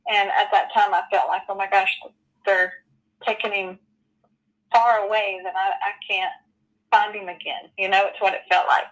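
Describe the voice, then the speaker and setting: A woman in her forties telling her personal story as an interview guest, earnest and halting, with many ums and you-knows. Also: mid-range voice, level tone, low-quality recording. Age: 40s.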